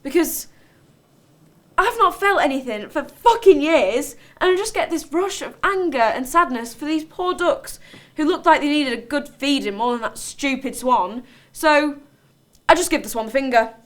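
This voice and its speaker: A woman's voice: high voice, animated, moderate pace. A woman playing a volatile, defensive character in a dramatic monologue, blunt and colloquial. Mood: angry, defiant. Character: volatile, defensive, sweary woman recounting her outburst. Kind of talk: dramatic monologue, conversational storytelling.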